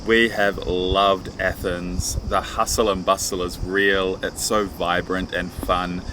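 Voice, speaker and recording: A man's voice: low-pitched, little pitch movement, takes it slowly, background noise. A man chatting casually as a travel vlogger, effusive and playfully florid in his phrasing. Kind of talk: casual travel vlog chat.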